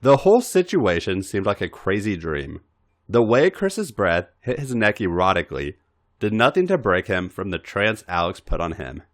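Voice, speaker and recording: A man reading a story aloud as expressive, scripted podcast narration. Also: on the low side, moderate pace.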